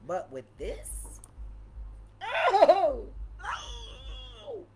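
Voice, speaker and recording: A woman with a deep voice chatting casually and playfully in a wine tasting vlog, repeating phrases for emphasis. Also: wildly swooping pitch, very slow, gravelly, a little mellow, a little hiss or hum. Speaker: vlogger reviewing wine.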